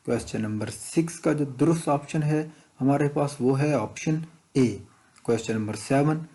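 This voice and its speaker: A man's voice: medium pitch, dark tone, rough recording. A man with a Pakistani accent teaching as an exam tutor, methodically reading out questions and explaining answers, switching between two languages.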